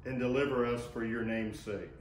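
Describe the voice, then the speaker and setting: An older man recites church responses formally. His voice has a middling male pitch and comes from a distance in a reverberant room, with a faint noise underneath. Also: fairly even intonation, normal speed. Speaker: assisting minister giving responses. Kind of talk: liturgical responses. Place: inside a church sanctuary by the altar.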